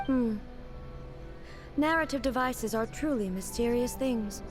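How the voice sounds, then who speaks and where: A woman voices a solemn immortal queen from a video game, somewhat high in pitch. She speaks formally and with care, earnest and innocently curious about the modern world. Music plays clearly behind her. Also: a little slow, natural voice. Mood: solemn, reflective. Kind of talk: scripted video game dialogue.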